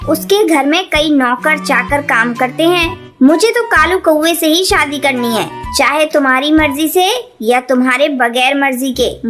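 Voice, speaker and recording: A woman's voice: high voice, a bit bright. A woman voicing a boastful, greedy young female bird in a cartoon, disdainful in manner. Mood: defiant. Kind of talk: Hindi cartoon voice acting. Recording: music behind the voice.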